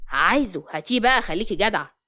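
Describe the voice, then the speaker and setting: A woman voicing a smooth, persistent young man in love, coaxing and confident, with an Egyptian accent. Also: mid-range voice, animated, quick pace, bright, forceful tone.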